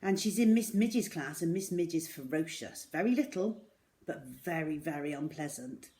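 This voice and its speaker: A woman reading a children's story aloud in an expressive, dramatic way, with deliberate pauses. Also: deep voice.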